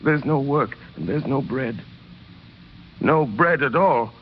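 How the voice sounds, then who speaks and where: An actor playing a hungry, humble ex-convict traveler with halting, plain-spoken pleading in an old radio drama. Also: male voice, medium pitch, lively intonation. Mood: humble, desperate. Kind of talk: 1930s radio drama acting. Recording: muffled, noisy vintage radio recording.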